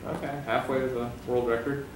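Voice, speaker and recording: A man's voice: mid-range voice, little pitch movement, brisk. A man in an audience asking casual questions at a panel. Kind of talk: casual chat. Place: convention panel room. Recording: echoey room, distant mic, noisy.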